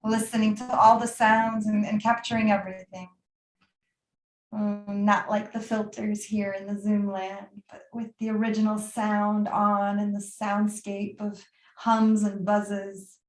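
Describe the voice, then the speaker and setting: A woman leads a guided meditation in a soothing, unhurried manner. Her mid-pitched voice stays very even, with little rise or fall, and phrases trail off into pauses. The sound is noticeably roomy and echoey. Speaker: yoga nidra meditation teacher.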